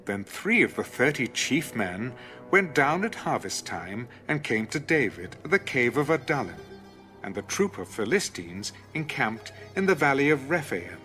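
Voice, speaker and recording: A man with a mid-range voice narrates scripture formally and dramatically. His intonation moves widely in measured storytelling phrases. Faint music runs underneath. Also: mid-range voice, sing-song, sharp tone. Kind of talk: dramatic audio Bible narration. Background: music behind the voice.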